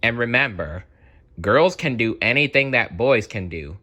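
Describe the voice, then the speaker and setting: A man reading a children's storybook aloud in an expressive, dramatic manner. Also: medium pitch, measured pace.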